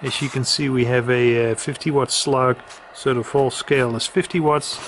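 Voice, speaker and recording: A man giving a casual hands-on equipment demonstration as a reviewer, speaking haltingly with hesitant pauses. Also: mid-range voice, fairly even intonation. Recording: loud radio hiss and static. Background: radio noise.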